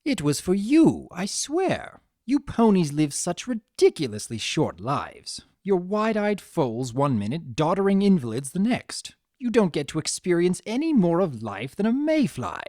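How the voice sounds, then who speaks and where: A woman's voice: lowish voice, wildly swooping pitch, measured pace, hardly any pauses, mellow, no echo, clean studio sound, pitched above the performer's own voice. A woman voicing a sly male chimera trickster from a storybook, theatrical, drawling, sardonic and mock-innocent. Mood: exasperated, sardonic.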